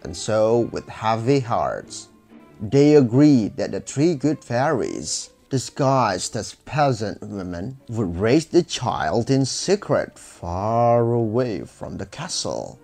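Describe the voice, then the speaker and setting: A man's voice: on the low side, very animated, slow, creaky, darkish tone, faint music behind. A man narrating a scripted fairy tale for children as a storyteller.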